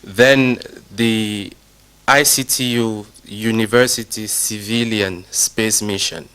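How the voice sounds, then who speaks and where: A man guest on a TV show, explaining technical work in a measured, deliberate way. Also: on the low side, steady tone, pushed and bright, clean tone. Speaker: space program consultant guest. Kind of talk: TV interview.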